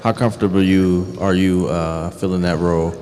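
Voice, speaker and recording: A man's voice: low-pitched, steady tone, moderate pace, a little mellow. A male sports reporter asking questions on a reverberant press conference call.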